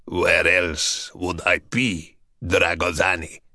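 A man voicing an ancient buried male vampire who speaks in slow, mocking, archaic phrases. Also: fairly low pitch, fairly bright tone, no echo, studio quality, pitched below the performer's own voice. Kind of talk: audiobook character voice.

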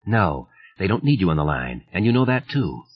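A man's voice: low voice, big pitch swings, normal speed. A man voicing a tense, agitated man under strain who talks urgently and sharply. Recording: muffled lo-fi audio.

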